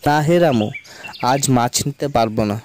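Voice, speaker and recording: Kind-hearted village husband played by a man, mid-pitched. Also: moderate pace, a little mellow, a little hiss or hum, lightly acted. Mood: hurried. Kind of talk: cartoon moral-tale dialogue.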